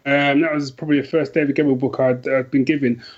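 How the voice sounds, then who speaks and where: A man's voice: medium pitch, level tone, fast, muffled recording. A man chatting casually as an interview guest, self-deprecating and prone to rambling asides. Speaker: podcast guest, author.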